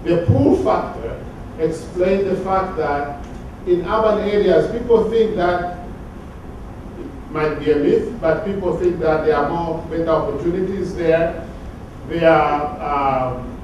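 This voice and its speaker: A man from Tanzania giving an academic conference talk, measured and sometimes repeating words as he searches for phrasing. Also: on the high side, a little mellow, slight background noise. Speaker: conference speaker. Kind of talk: academic lecture. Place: large conference hall. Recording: very echoey hall from far off.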